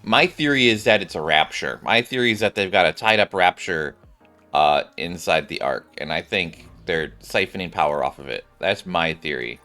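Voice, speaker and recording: Low-voiced, animated male game streamer. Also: moderate pace, soft background music. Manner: rambling, reacting aloud. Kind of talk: casual game commentary.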